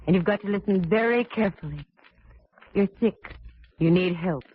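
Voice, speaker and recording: An actress playing a cool, wisecracking private detective who narrates an old-time radio drama in a brisk, composed way. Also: female voice, mid-range voice, slight background noise, natural voice. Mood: firm, concerned. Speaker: narrator and lead. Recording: dull vintage radio recording with music.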